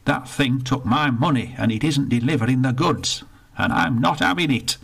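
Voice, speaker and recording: A stubborn old grouch, as a man voices him, blustering and blunt in a storybook reading. The voice sits a touch higher than the reader's own and keeps its gravelly, husky edge. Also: moderate pace, a bit bright. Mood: indignant. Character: cantankerous older northern man, stubborn and belligerent.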